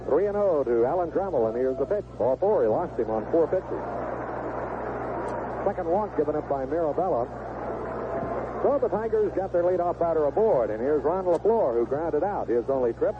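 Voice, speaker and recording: A man's voice: on the high side, animated, moderate pace. A man doing live 1970s radio play-by-play of a baseball game, describing the action in short clipped phrases. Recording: noisy, narrow-band vintage AM radio broadcast.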